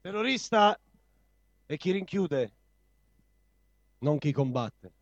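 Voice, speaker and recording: A man's voice: a little high, animated, slow, no echo. A man reading a political declaration aloud at a live event. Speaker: reader of an activist's statement. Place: live reading at a public venue. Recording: dull, lo-fi live event recording.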